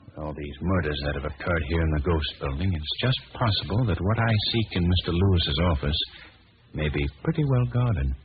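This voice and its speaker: An actor playing a suave, commanding sleuth and invisible avenger in a 1940s-style radio drama, on an old recording. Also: male voice, deep voice, lively intonation, brisk, phone-quality sound, background noise. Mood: calm, wry.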